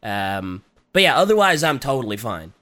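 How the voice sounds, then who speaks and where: Raspy, congested man in casual chat. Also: mid-range voice, very animated, moderate pace, pushed and bright. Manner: hesitant, full of fillers. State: recovering from a cold. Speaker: podcast co-host.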